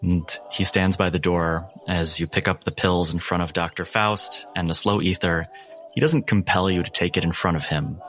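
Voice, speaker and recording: A man narrating a horror tabletop roleplay as game master, in a measured, suspenseful way with deliberate pauses. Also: low voice, fairly bright tone. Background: background music.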